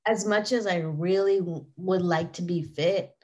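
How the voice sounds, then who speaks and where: A woman's voice: fairly low pitch, unhurried, a little mellow, dull audio. A young woman from California's Bay Area, a podcast guest on a call, chatty and thoughtful with lots of "like". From San Francisco Bay Area, California. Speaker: interview guest. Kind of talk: casual chat. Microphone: remote call.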